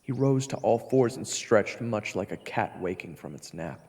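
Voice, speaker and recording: A man narrates a fantasy audio drama in a low, gravelly voice with lively intonation. A long reverb trails his words, and music plays behind him. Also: moderate pace. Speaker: narrator and character in the story.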